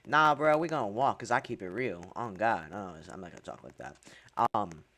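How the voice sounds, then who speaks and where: A man's voice: fairly low pitch, lively intonation, normal speed, sharp tone. Chatty young man narrating a game tutorial. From Hungary. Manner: chatty, wry asides, frequent ums. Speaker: gaming tutorial creator. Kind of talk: casual game tutorial voice-over.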